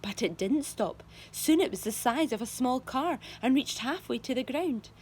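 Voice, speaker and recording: Woman, teacher, children's book read-aloud, animated, highish. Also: normal speed, husky. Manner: expressive storytelling. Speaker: primary school teacher.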